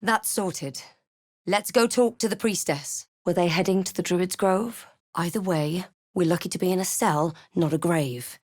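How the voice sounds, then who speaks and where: A watchful female adventurer from a fantasy game. Her voice sits fairly low and is recorded dry and close. She speaks in a measured, understated way with a wry, dramatic edge. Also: no room sound. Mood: measured, wary. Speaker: player-character voice actor. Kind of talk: fantasy game narration and short reactive lines.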